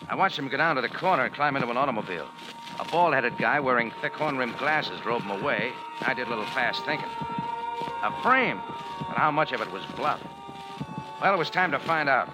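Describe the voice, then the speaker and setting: An actor playing a wry, tough cafe owner in 1940s radio noir, clipped and hardboiled. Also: male voice, mid-range voice, lively intonation, brisk, natural voice, poor audio. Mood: hardboiled narration. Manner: dry, wisecracking, clipped hardboiled delivery. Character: wry, tough male cafe owner narrating his adventure. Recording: old radio broadcast with music behind.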